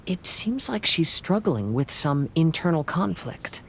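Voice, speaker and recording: A man voicing a thoughtful, gentle young man in a video game, speaking calmly and reflectively. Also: fairly high pitch, measured pace, gravelly, slight background noise, full character voice. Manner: calm, reflective. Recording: game dialogue over background music.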